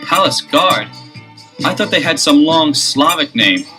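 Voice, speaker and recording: An actor playing a curious, easygoing young amateur detective in a 1970s record-album drama. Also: male voice, a little high, very animated, measured pace, music in the background.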